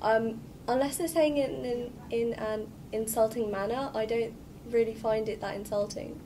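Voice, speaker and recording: A young woman student with a fairly high voice speaks in a blunt, matter-of-fact way. The mic is some distance from her, and a noticeable noise runs behind her. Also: fairly even intonation, moderate pace, mellow. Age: young adult. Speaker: sixth form student. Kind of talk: candid group discussion.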